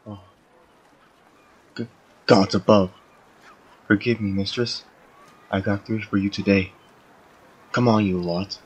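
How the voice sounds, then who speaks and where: A man doing a gruff, gravelly-voiced dealer. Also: fairly low pitch, fairly even intonation, takes it slowly, long pauses. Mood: gruff deference. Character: rough male prisoner dealer, gruff and servile. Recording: muffled lo-fi sound.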